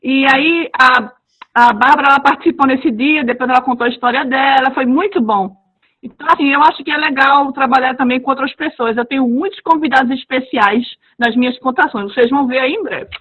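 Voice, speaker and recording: A woman who reads stories to children, talking casually on a webinar and acting out quoted dialogue with lively expression. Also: high voice, brisk, husky. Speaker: heritage language storyteller. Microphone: video call. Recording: dull lo-fi video call audio.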